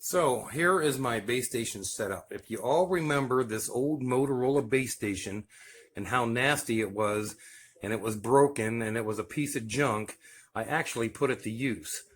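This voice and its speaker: A man's voice: mid-range voice, moderate pace. An American man giving a casual show-and-tell narration as a hobbyist video host.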